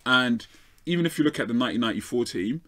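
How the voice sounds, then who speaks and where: A South London man holding forth on football in a quick, animated rush. He argues his case hard and piles up point after point. His voice sits at an ordinary middle pitch. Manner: animated, argumentative, rapid-fire. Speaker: football pundit. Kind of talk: lively football debate.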